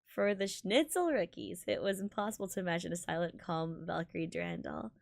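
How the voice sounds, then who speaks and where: A woman streaming a visual novel, reading it aloud in a halting, giggly way with stumbles over words. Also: fairly low pitch, gravelly, dry recording, clean studio sound. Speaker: game streamer.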